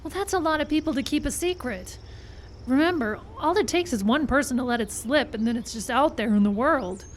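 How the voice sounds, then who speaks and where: Woman playing skeptical film colleague, high voice, dry, sensible, live radio play, faint background noise. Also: expressive, a little fast. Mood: skeptical, patient. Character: skeptical, level-headed woman on a film crew. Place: live radio show in a park.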